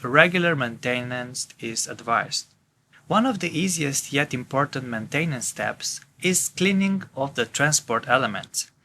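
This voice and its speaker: A male instructor delivers scripted technical narration in a methodical, formal manner. His voice sits at a middle pitch and has a bright, forward edge. Some background noise is audible on the recording. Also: gravelly.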